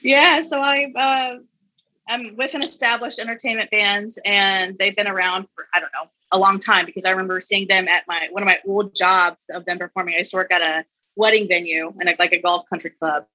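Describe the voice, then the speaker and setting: A woman in her twenties, a singer chatting as a guest, upbeat and quick to laugh. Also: mid-range voice, fairly even intonation, pushed and bright, dry recording, poor audio. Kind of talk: casual conversation.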